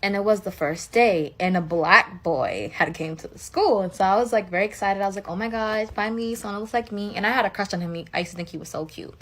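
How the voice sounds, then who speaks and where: A woman's voice: medium pitch, fast talker, hardly any pauses, fairly bright tone. A young woman telling a candid story and then ranting bluntly to her followers in casual slang. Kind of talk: candid storytelling and direct-to-camera rant.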